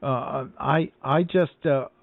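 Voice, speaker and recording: A man's voice: mid-range voice, steady tone, slow pace, dry recording. An enthusiastic man hosting an amateur radio net and handing over to other operators. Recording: muffled lo-fi internet radio link.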